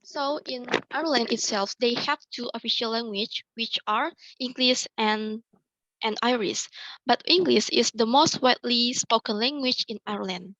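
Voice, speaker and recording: A young woman gives an academic presentation. Her voice sits a little high, and she talks hesitantly, repeating and restarting words. The sound is dry and muffled, like a video call. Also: moderate pace, fairly bright tone, dry recording. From Indonesia. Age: young adult. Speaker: student presenter.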